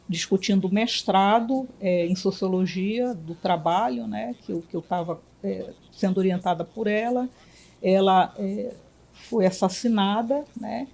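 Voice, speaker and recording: A woman from Belém, a physician and union director, answering interview questions in a reflective way with many pauses and restarts. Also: medium pitch, gravelly, mellow. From Belém, Brazil.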